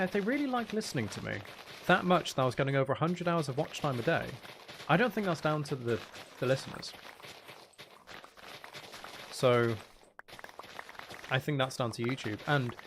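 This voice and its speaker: A soft-spoken man chatting casually on a livestream as an ASMR creator, explaining things in a candid, aggrieved way. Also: medium pitch, slight background noise. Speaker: ASMR content creator and streamer. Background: music in the background.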